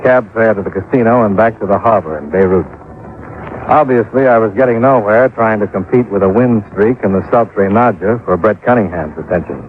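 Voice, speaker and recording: An actor playing a dry, skeptical insurance investigator, terse and wry in old-time radio style. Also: male voice, lowish voice, fairly quick, close to an everyday voice. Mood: wry narration. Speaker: lead actor and narrator in a radio detective drama. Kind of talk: old-time radio acting and first-person narration. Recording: tinny, noisy old radio recording.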